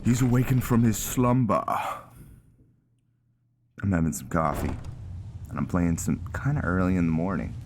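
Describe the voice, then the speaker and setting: A man hosting a gaming video with casual, rambling, halting commentary over the game. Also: low voice, darkish tone, slight background noise. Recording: clear game ambience behind voice. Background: game sounds.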